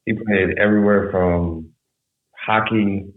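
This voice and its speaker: Podcast guest, young man, very low voice, rambling storyteller, phone-like echoey sound. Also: slow. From San Jose, California. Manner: relaxed, rambling storytelling with fillers. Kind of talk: casual conversation.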